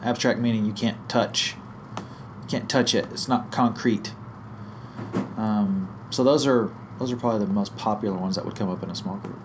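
Guest, male, lower voice, hoarse and croaky from a cold, loose thinking-aloud explainer, faint room sound. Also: noisy background. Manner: thinks aloud with fillers and restarts. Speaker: guest teacher on small group ministry. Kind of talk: conversational podcast explanation.

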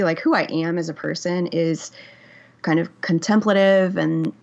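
A woman in her forties, a memoir author, talking candidly in an interview, reflective and hesitant with many fillers and restarts. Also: on the low side. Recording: dull, lo-fi audio.

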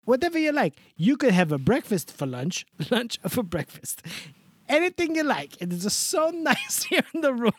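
A man voicing a sentimental, rambling older male host character who adds a stray "a" before words, with a very lively, up-and-down pitch. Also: moderate pace, breathy edge, heavily acted. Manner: slips a stray "a" before words, broken grammar, over-the-top gushing. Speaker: podcast host. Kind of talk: comedic in-character podcast bit.

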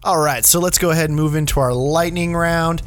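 A man's voice: on the high side, fairly even intonation, brisk, slight background noise. A man hosting a casual comic book podcast in an informal, enthusiastic manner. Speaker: podcast host.

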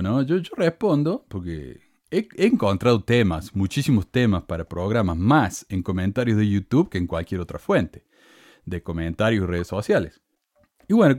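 Lively Cordoba-accented man, dry close sound. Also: medium pitch, no echo. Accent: Cordoba Argentine Spanish. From Córdoba, Argentina. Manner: indignant, sarcastic, rising emphatic peaks. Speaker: channel host. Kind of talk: casual monologue to camera.

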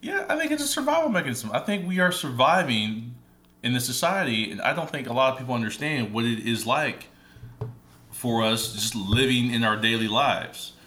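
A man's voice: mid-range voice, expressive, bright, forceful tone. A man co-hosting a casual podcast conversation, talking thoughtfully with frequent fillers and restarts. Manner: thoughtful, halting, many fillers. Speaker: podcast co-host.

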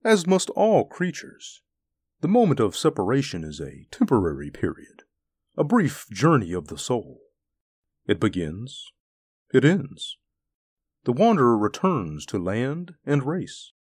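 A man voicing a huge, gentle alien beast in a story, speaking in an articulate, formal, pensive way. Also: mid-range voice, wildly swooping pitch, unhurried, breathy edge, no echo, clean studio sound, full character voice. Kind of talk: storybook character acting.

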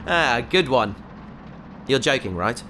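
A woman playing a gentle, enthusiastic scientist aboard a spaceship in a sci-fi audio drama. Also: rumbling low, expressive, slow pace, slight background noise, exaggerated voice. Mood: wary, chiding.

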